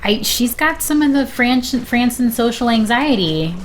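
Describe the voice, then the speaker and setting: A middle-aged American woman chatting casually and animatedly about family life, quick to laugh. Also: on the high side, expressive, a little hiss or hum, low-quality recording. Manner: chatty, laughs easily, quotes people animatedly. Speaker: guest on a sibling's game stream. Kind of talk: casual chat, telling family anecdotes.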